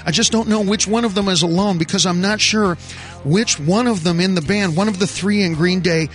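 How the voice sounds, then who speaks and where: A man's voice: high-pitched, brisk, soft background music. Joking rambling radio host man. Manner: joking, rambling, directs the board operator. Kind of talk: call-in radio song review. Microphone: studio microphone.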